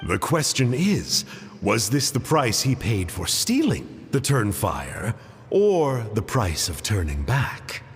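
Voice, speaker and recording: A man's voice: fairly low pitch, wildly swooping pitch, slow, full character voice. A man playing a teasing, evasive uncle who humors children with wry, theatrical, folksy mock-proper phrasing in a video game. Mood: wry curiosity. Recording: reverberant, loud music behind. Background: loud game music.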